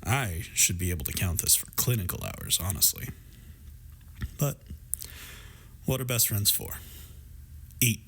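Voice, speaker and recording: Guy doing a warm teasing best friend for a roleplay audio, kinda low voice, wry but really reassuring. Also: wildly swooping pitch, a little slow, creaky, pauses often, slightly rough, exaggerated voice. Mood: playful, teasing. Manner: playful, affectionate, wry, reassuring. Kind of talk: intimate scripted roleplay audio drama.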